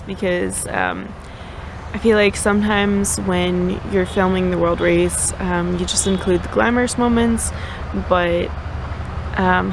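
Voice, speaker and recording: A young American woman talks casually to her viewers in a slightly low voice. She sounds like a vlogger chatting off the cuff, with clear vehicle and outdoor noise around her. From United States. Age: young adult. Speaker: vlogger on a mission trip. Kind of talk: casual chat to camera.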